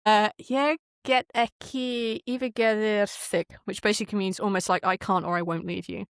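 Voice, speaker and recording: A woman's voice: mid-range voice, steady tone, moderate pace, smooth, no echo, studio quality. A woman speaking as a podcast guest, telling stories in a chatty, emphatic, casual way with the odd swear word. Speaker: podcast guest, game master and audio drama creator. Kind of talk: animated casual interview storytelling.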